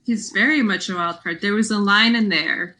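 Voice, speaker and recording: Bright-voiced woman chatting about books. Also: medium pitch, moderate pace. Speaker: podcast co-host. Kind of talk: casual book discussion.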